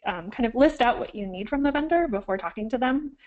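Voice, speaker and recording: A woman's voice: fairly high pitch, animated, a little fast, a little mellow, highs cut off. Woman with a cold giving a webinar. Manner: frequent "um"s and self-corrections. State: getting over a cold, slightly froggy. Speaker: archivist presenting a webinar. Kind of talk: instructional webinar talk.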